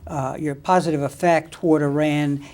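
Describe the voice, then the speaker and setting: A man's voice: a little high, steady tone, a little mellow. Formal man hosting an interview. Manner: formal introduction, halting questions. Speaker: interview host.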